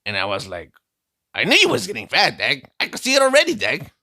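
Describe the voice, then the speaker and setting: A man doing a cocky streetwise vato, boasting through a comic tale in slang. The voice sits a bit high and is very bright, swinging up and down with lively intonation. It is recorded close and dry. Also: a little fast, gravelly, no room sound, full character voice. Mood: swaggering. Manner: slangy, calls listener "dog" constantly, boastful storytelling. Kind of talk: comic character monologue.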